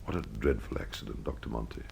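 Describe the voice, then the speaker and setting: A man playing a shaken older aristocrat, speaking heavily and quietly. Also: extremely low pitch, quick pace, vocal fry, a little hiss or hum, pitched below the performer's own voice, exaggerated voice. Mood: heavy.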